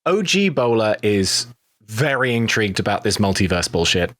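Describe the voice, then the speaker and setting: Male cast member, slightly deep voice, dry close recording, informal conversational delivery. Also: very animated, normal speed, a bit bright, dry recording. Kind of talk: casual chat.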